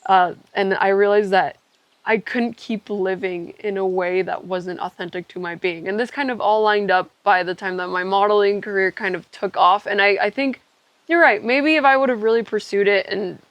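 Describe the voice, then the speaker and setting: A woman's voice: mid-range voice, fairly even intonation, normal speed, no echo, highs cut off. Young woman, mid-range voice, candid reflective podcast conversation, trails into asides, dry sound. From Ecuador. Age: young adult. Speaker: podcast co-host telling their own story.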